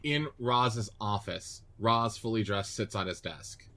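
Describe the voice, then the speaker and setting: A man's voice: lowish voice, lively intonation, measured pace, fairly bright tone. A man narrating stage directions in a scripted radio play reading.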